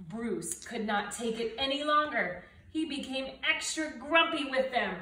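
A middle-aged woman reading a children's storybook aloud in an animated way, with dramatic emphasis. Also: sits high, unhurried. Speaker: storybook reader. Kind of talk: expressive children's read-aloud. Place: indoors, living room by brick fireplace and window. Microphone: camera a few feet away. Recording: roomy, distant mic, faint noise.

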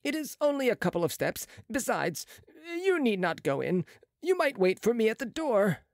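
A sneering young surgeon, proud and boastful, as a reader voices him. His pitch sits well above the reader's own and leaps widely. The audiobook recording is dry and close. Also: sing-song, moderate pace, dry recording. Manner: boastful, lofty, sharp retorts. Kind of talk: audiobook character reading.

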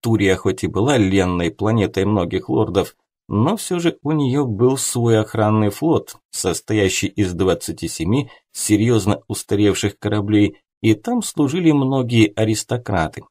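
A man's voice: lowish voice, lively intonation, measured pace, dark tone. A man reading a scripted first-person story as an audiobook narrator.

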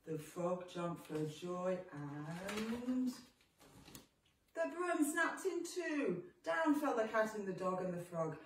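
A woman's voice: mid-range voice, expressive, slow pace. A middle-aged woman reading a rhyming children's picture book aloud expressively. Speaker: storybook reader. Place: indoor living room, seated on sofa. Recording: echoey room, slightly distant microphone.

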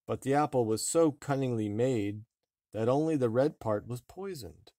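A man reading a fairy tale aloud as an expressive storybook narrator. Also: mid-range voice, normal speed, no room sound, clean studio sound. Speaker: storybook reader.

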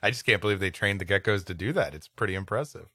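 A man's voice: low-pitched, fast, bright, forceful tone. A man co-hosting a comedy podcast, reading a story aloud and playfully breaking off to riff. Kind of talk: story reading broken up by comic banter.

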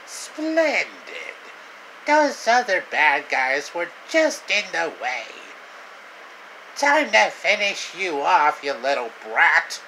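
A man's voice: fairly high pitch, wildly swooping pitch, takes it slowly, bright, forceful tone, a little hiss or hum, voice pushed up, heavily acted, low-quality recording. A man voicing a gloating cartoon villain, taunting and theatrical. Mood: gloating menace. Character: loud, gloating cartoon villain out to crush a brat.

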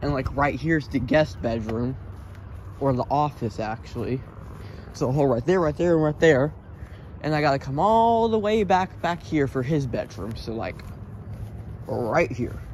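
A man's voice: medium pitch, animated, normal speed, a little mellow. A young man giving an offhand, rambling house tour in casual vlog style, talking into a handheld phone. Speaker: vlogger. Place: walking through a house. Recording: noisy handheld phone recording with clicks.

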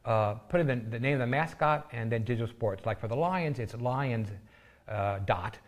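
Middle-aged american man, mid-pitched voice, unhurried, halting explanations, fillers. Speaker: school system guest. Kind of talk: casual studio chat.

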